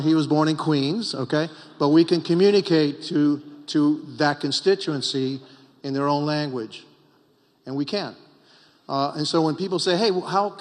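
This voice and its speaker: A man's voice: on the high side, in a large hall. A middle-aged Cuban-born man, a congressman and former mayor, giving emphatic, repetitive panel remarks at a political retreat. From Cuba.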